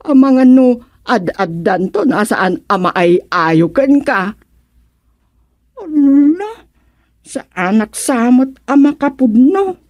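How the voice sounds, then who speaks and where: A woman's voice: high-pitched, expressive, slow, darkish tone, dry recording, muffled recording, heavily acted. A woman playing a doting mother giving advice in an Ilocano radio comedy-drama, with an Ilocano accent. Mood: coaxing. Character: doting, advising mother of a marriageable daughter.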